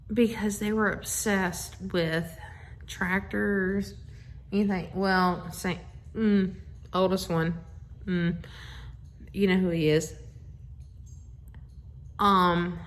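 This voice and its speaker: A middle-aged woman chats casually and effusively into a phone, rambling through asides as she introduces a bedtime story reading. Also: fairly low pitch. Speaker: host of a family social media video. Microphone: handheld phone.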